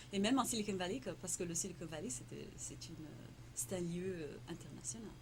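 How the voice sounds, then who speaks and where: A woman whose first language is Tamil speaks in a fairly low voice, stumbling through her answers with lots of fillers and repeated words. The recording carries steady noise in the background. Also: normal speed. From Morocco. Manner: hesitant, many fillers and repeated words, laughs often. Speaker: interviewee, entrepreneur. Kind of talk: casual conversational interview. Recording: noisy background.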